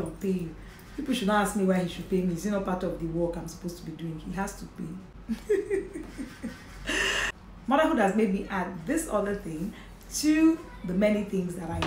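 A Nigerian woman in her thirties, talking to camera about motherhood in a chatty, earnest way with light laughs. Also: mid-range voice. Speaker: vlogger, mother. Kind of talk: casual talk to camera. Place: indoor home office with bookshelf and curtains. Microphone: camera in front at desk distance. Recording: echoey room, faint hiss.

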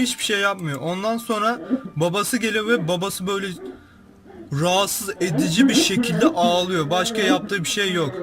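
A young man giving casual, informal, exclamatory commentary as a YouTube host, describing what he sees. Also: sits high. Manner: informal, exclamatory, narrates what appears on screen.

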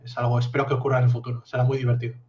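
A man in his thirties explaining his project on a video call from a home office, measured and full of fillers. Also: medium pitch, completely flat delivery, highs cut off. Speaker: guest project founder. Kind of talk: casual video call interview.

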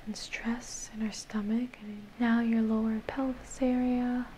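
A young woman whispering softly and breathily in a slow, soothing ASMR guided relaxation. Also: fairly high pitch, level tone, a little hiss or hum. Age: young adult. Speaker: ASMR reiki practitioner. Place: dim indoor room.

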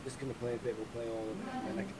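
Hoarse british man, tired voice, stop-start chat over a call line with crowd noise behind. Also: medium pitch, lively intonation, low-quality recording. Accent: British English. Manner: halting, many restarts and throat clearing. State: losing his voice, run down. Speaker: keynote speaker. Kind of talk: casual pre-talk chat on a video call.

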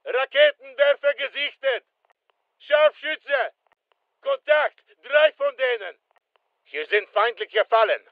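A man's voice: very high-pitched, lively intonation, slow, gravelly, pauses often, no echo, narrow, tinny audio, full character voice. A male actor playing a terse special forces soldier in a military shooter game, giving clipped, commanding callouts. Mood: urgent, loud.

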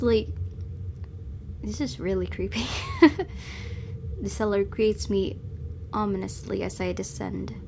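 A woman hosting a gaming video, reading game text aloud and reacting in an expressive, fretful way. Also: medium pitch, a little hiss or hum. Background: background game music.

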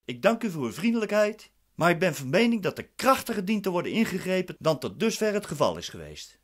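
Defiant male defendant, animated pitch. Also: fairly quick. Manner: formal, assertive. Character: dissatisfied, defiant male defendant, politely cutting. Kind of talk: radio play acting.

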